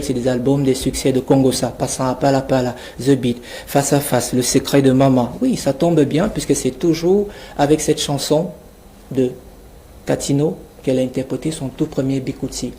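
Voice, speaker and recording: A man's voice: mid-range voice, mellow. A man with a Cameroonian accent reading a scripted portrait of a guest in a theatrical, declamatory manner. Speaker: radio columnist presenting a guest portrait.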